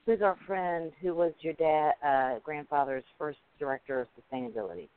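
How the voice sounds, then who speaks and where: A fairly high-voiced man from Alabama hosts a casual chat, joking easily and fumbling for names along the way. His voice comes through thin and phone-like. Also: fairly even intonation, moderate pace, clear, resonant tone, no echo. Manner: chatty, joking, loses his train of thought. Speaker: interview host. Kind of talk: casual conversational interview. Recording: tinny phone-like line.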